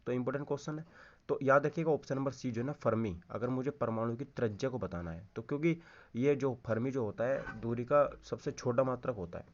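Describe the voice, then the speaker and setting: A man's voice: mid-range voice, fairly quick, muffled recording. A man teaching an informal exam-prep lesson, repeating key answers, checking in with listeners and mixing Hindi with English terms. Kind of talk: informal lesson, going through quiz questions.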